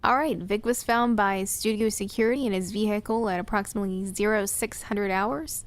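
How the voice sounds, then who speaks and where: A woman playing a polite, businesslike police detective in an audio drama, measured and matter-of-fact. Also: mid-range voice, steady tone, lightly acted.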